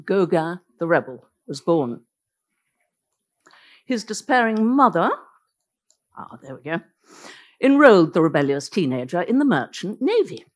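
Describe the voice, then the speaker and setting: A woman's voice: on the low side, big pitch swings, unhurried, pauses often, a little mellow. A woman whose first language is Norwegian, giving an illustrated lecture as a biographer, telling the story vividly with wry asides.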